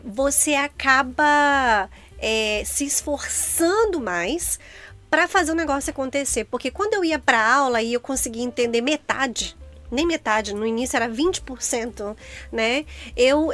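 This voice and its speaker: A woman's voice: sits high, moderate pace, a bit bright. A middle-aged Brazilian woman co-hosting in casual chat, speaking Brazilian Portuguese and talking straight to viewers. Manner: chatty, addresses viewers directly. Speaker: co-host.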